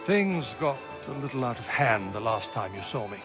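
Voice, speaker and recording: A man's voice: medium pitch, very animated, normal speed, over music, lightly acted. An actor playing a plainspoken, principled, hard-drinking frontier man in a video game, with a blunt, folksy manner. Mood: frank.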